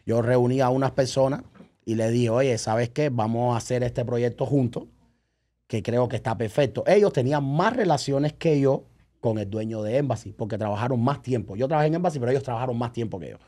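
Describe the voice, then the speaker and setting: A man's voice: mid-range voice, a little fast, mellow. A man chatting casually as a podcast guest and event promoter, telling stories by acting out remembered dialogue and checking that the listener follows. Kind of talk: casual chat, animated storytelling.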